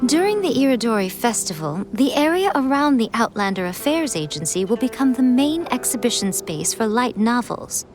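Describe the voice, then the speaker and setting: A woman's voice: on the high side, sing-song, normal speed, few pauses, music in the background. A woman playing a poised, teasing and self-assured noblewoman in a video game, speaking with playful elegance. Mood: enticing.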